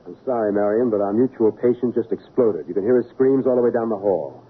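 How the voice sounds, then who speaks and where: An actor playing a blunt, anxious doctor in an old radio drama, speaking in excitable, clipped sentences. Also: male voice, on the low side, quick pace, breathy edge. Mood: urgent. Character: urgent, outspoken male doctor, blunt anxious colleague. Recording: narrow, muffled old radio recording.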